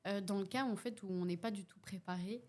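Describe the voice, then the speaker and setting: A woman's voice: mid-range voice, brisk, darkish tone. A young woman with a Belgian French accent in a casual podcast discussion, speaking hesitantly with many fillers and restarts. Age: young adult. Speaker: podcast participant, youth workshop facilitator.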